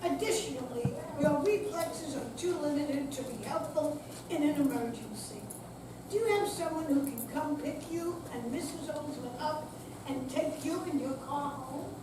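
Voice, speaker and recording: A woman playing a stern, by-the-book police officer in a staged reading, speaking formally, firmly and deliberately. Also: sits high, lively intonation. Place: senior center hall, live performance. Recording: echoey room, distant mic, loud noise.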